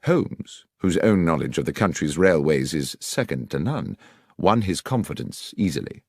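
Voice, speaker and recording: Male audiobook narrator, low-pitched, dramatic and measured, very dry close-miked sound. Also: sing-song, gravelly, dry recording. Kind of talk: dramatic scripted first-person story narration.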